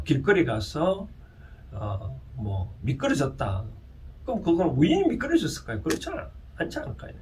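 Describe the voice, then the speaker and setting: A man with a Gyeongsang Korean accent giving an informal explanatory lecture, speaking haltingly and often putting rhetorical questions to his listeners. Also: fairly high pitch, darkish tone. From Gyeongsang region, South Korea. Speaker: lecturer and counsellor. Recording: distant mic with faint background noise.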